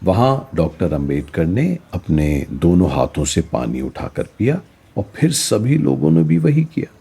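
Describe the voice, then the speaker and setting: A learned male teacher in an educational radio drama, lecturing rhetorically with English words mixed in. His voice is mellow and a little husky, with lively swings of pitch. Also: measured pace, full character voice. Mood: earnest. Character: learned male teacher explaining history to a student. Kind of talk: scripted educational radio play dialogue.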